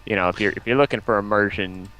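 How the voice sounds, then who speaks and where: Guy with a lowish voice, casual stream banter, keeps restarting his words. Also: sharp tone, poor audio. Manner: halting, repeats words as he starts sentences. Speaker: guest on a gaming livestream.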